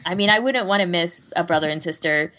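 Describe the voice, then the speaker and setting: A woman's voice: fairly low pitch, fast, bright, forceful tone. A woman chatting casually as a podcast co-host, talking through her recommendations in an informal way. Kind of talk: casual chat, recommending fan fiction recordings.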